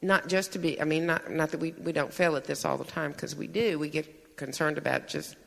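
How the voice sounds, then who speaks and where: A man's voice: fairly high pitch, steady tone, brisk, gravelly, a bit bright, long reverb tail. A man reporting his table's discussion to a church group, earnest and searching for words. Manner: earnest, searching, restarts often.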